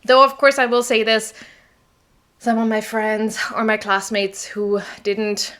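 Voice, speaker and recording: A woman's voice: on the high side, flat delivery, unhurried, fairly bright tone. A woman from southern Germany with a German accent, a native German speaker and English teacher, telling her language-learning story in a chatty vlog, often laughing and checking in with "okay?".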